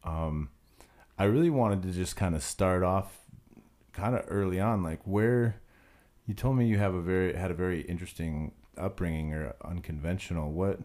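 A man hosting a podcast, talking in a relaxed, conversational way. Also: low voice, moderate pace. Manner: relaxed, conversational, rambling plug. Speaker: podcast host and musician. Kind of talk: casual podcast chat.

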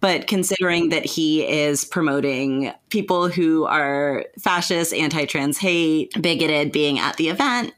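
A woman's voice: deep voice, a little slow, few pauses, fairly bright tone. A woman hosting a casual podcast discussion, giving opinions in an earnest, deliberating way. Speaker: podcast host.

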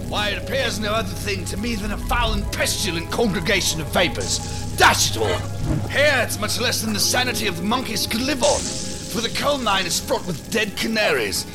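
Crazy captain type, florid and erratic, high voice swinging all over, music under it. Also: male voice, sing-song, normal speed. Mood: grandiose raving. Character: raving, theatrical mad captain, speaking in riddles. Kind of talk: audio drama acting.

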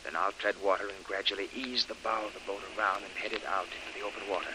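Man playing a low-voiced, capable adventurer. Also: flat delivery, fast, close to an everyday voice. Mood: steady, cautious. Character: calm, capable adventurer leading a stealthy escape. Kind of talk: 1930s radio adventure serial acting. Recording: noisy old radio recording.

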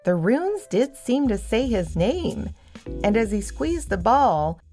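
A woman's voice: on the high side, sing-song, slow pace, mellow, faint music behind. A woman narrating a scripted children's adventure story.

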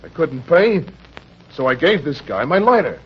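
An actor playing a frightened, down-on-his-luck husband and father in old-time radio crime drama, with an American accent, a husky voice and stammering, pleading delivery. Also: fairly high pitch, big pitch swings, normal speed, full character voice. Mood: anxious confessing. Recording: dull, lo-fi old radio recording.

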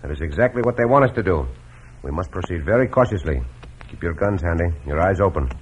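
An actor playing a shrewd Mexican cowboy hero, speaking formal accented English with authority in a radio Western. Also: male voice, sits low, lively intonation, quick pace, a little hiss or hum. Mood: commanding, cautious. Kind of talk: old-time radio Western acting. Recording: muffled, slightly rough old radio recording.

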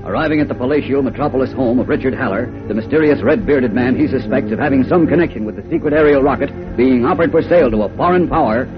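A man's voice: mid-range voice, quick pace, hardly any pauses, lo-fi sound. A man narrating a 1940s radio serial with dramatic, urgent, emphatic delivery. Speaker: announcer and story narrator. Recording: old radio recording with loud music.

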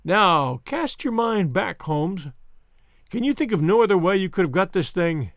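A man's voice: high-pitched, very animated, measured pace, no echo, clean studio sound, exaggerated voice. A man voicing a smug, cruel villain who taunts and sneers in a dramatized audiobook reading. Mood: cruel, taunting. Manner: taunting, insistent, mock-concerned.

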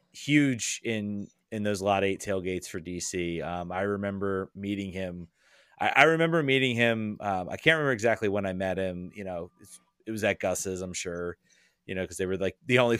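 A man's voice: fairly low pitch, a bit bright, clean tone, dry recording, clean studio sound. A man talking casually as a podcast guest, hesitant, with frequent ums and restarts. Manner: hesitant, lots of ums and repeated words.

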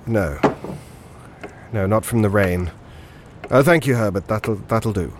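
An actor playing a grave, haunted sergeant major in a horror audio drama, speaking in a halting, ominous way. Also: male voice, on the low side, a little hiss or hum, close to an everyday voice. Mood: polite, uneasy.

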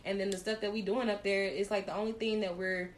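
A woman hosting a casual podcast, talking loudly with crude jokes and laughter. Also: mid-range voice, flat delivery, fast talker, a little hiss or hum. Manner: loud, laughing, crude joking. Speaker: podcast host. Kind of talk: casual chat.

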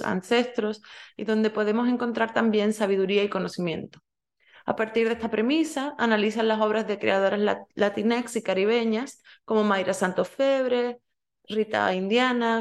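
A woman hosting an interview, introducing her guest and asking questions, often hesitating and adding tag questions. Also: medium pitch. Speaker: podcast host.

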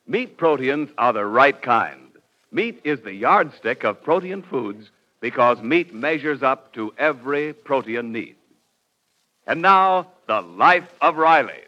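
Bright, forceful vintage radio announcer, male. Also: medium pitch, expressive, takes it slowly. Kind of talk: 1940s radio announcing, scripted narration. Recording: dull vintage radio recording.

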